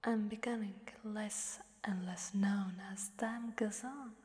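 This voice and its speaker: Girl doing a creepy hungry demon lady for asmr, super slow breathy whisper, teasing, big echoing reverb. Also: medium pitch, fairly even intonation, darkish tone, natural voice. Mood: sly, boastful. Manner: slow, teasing, menacing, drawn-out phrasing. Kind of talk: ASMR roleplay monologue. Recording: long hellish reverb.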